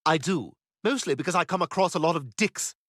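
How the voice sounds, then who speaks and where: A man's voice: a little high, animated, brisk, fairly bright tone, no room sound, clean studio sound. An actor voicing an arrogant, precocious baby boy with a posh British accent, talking in a smug, condescending and cutting way. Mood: mocking. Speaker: therapy patient. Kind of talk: animated sitcom voice acting.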